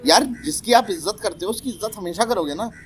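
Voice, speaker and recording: A man's voice: high-pitched, animated, fast talker, slight background noise. A man hosting a casual chat about poetry, telling stories with animation and teasing his guests.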